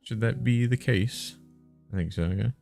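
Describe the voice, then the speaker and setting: A man live streaming a text game, musing out loud in short casual phrases and sometimes reading the game text. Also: medium pitch, moderate pace, creaky, faint music behind. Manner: musing, muttered short phrases, thinking aloud.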